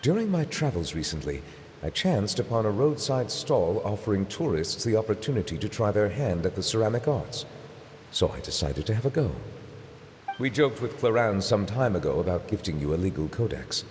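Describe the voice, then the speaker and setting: A stately male judge from a video game, speaking in a low, composed voice. He chooses every word with formal precision and measured calm. His humor is dry. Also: a little hiss or hum.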